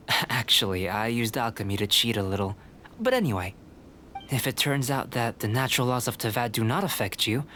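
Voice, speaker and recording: A man playing a calm, cerebral young alchemist in a video game, speaking in a measured, articulate, scholarly way. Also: mid-range voice, slight background noise, close to an everyday voice. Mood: pleased, analytical.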